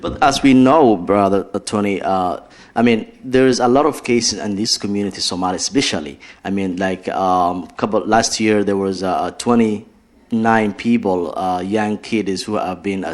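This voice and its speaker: Halting low-voiced man hosting an interview. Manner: halting, restarting questions with many I mean and uh. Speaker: interview host. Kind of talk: community TV interview.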